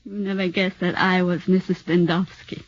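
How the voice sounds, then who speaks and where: An actress playing a cunning, cold former actress who speaks in a husky, mocking, clipped way, in a 1940s radio drama. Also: female voice, on the low side, level tone, moderate pace, no room sound, lightly acted. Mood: triumphant, intense. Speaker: witness in murder trial. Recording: dull lo-fi 1940s radio broadcast.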